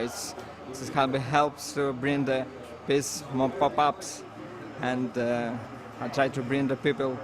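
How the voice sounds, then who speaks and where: Over clear outdoor crowd chatter, a man with a Russian accent talks in an interview. His pitch is medium and stays fairly even. He speaks casually and haltingly, searching for his words in non-native English. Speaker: engraver, coin carver.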